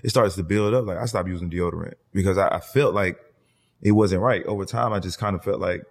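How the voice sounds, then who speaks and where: Male interviewer, 30s. Lowish husky voice, laid-back and slangy, casual chat feel, reverberant recording. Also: brisk. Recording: long hall-like reverb.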